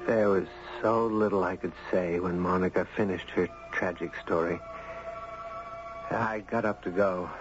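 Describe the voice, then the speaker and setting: A man playing a gentle, earnest character in a 1970s radio drama, speaking in a measured, literate way. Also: low voice, fairly even intonation. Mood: reflective. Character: gentle, earnest man drawn into a tragic tale. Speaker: radio drama actor and narrator. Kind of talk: 1970s radio drama acting, first-person narration. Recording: muffled 1970s radio broadcast, music behind.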